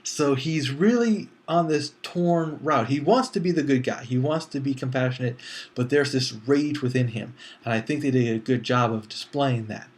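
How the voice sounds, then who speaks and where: A man's voice: mid-range voice, normal speed. A man giving a casual spoken film review as a movie critic, talking conversationally and acting out his imagined reactions.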